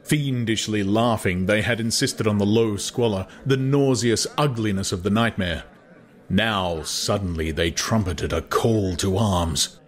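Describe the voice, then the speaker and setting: Low-voiced man narrating a novel. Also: a little slow. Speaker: audiobook narrator. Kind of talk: dramatized scripted literary narration.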